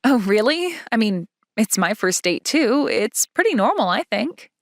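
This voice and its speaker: A woman's voice: fairly high pitch, big pitch swings, unhurried. A woman as a coy, easily flustered schoolgirl. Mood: coy, challenging. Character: reserved yet playful, easily flustered schoolgirl love interest. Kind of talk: manga dub acting.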